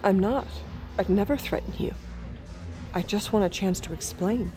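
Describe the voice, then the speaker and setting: A woman's voice: medium pitch, normal speed, dark tone, faint music behind, lightly acted. An actress playing a devoted, scheming woman android who persuades earnestly and seductively in an audio drama. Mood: earnest pleading. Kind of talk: intimate audio drama acting.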